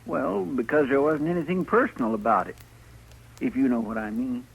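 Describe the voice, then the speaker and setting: An actor playing an earnest, deferential marshal's sidekick with a homespun, rambling manner, 1950s radio style. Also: male voice, mid-range voice, normal speed, vocal fry, breathy edge. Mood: folksy. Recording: faintly noisy old radio recording.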